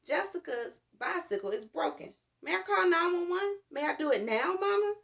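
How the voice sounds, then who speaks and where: A woman voicing an eager, impatient little girl who pleads excitably in a children's storybook. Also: very high voice, moderate pace, gravelly, voice pushed up, full character voice. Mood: excited, pleading. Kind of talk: children's storybook read-aloud.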